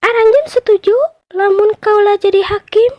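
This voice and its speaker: A small, shrewd mouse deer judge from a children's fable, played by a woman storyteller, speaks very high, inquisitive and commanding. The sound is a little dull. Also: steady tone, moderate pace, no echo. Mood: questioning.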